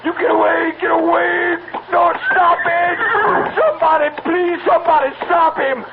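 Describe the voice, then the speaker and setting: A man's voice: extremely high pitch, flat delivery, normal speed, over loud music. An actor playing a desperate, terrified horseman in a radio drama, with frantic pleading cries. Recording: muffled, very noisy old radio recording.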